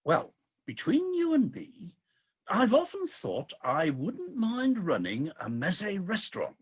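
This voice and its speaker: A man's voice: sits high, wildly swooping pitch, slow pace, husky, full character voice. An actor playing a weary, disillusioned ageing king of the gods in a comic radio play, with a grumbling, theatrical delivery. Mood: resigned, musing.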